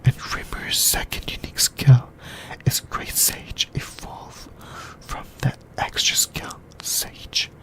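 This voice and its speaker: A host whispering an ASMR discussion in a breathy, unvoiced voice, speaking in halting short phrases and repeating words. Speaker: host of an anime discussion channel.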